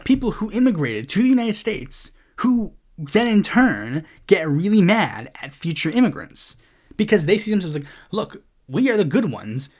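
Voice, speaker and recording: A man hosting a casual solo political commentary show, rambling with lots of filler words and blunt, profane asides. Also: high-pitched.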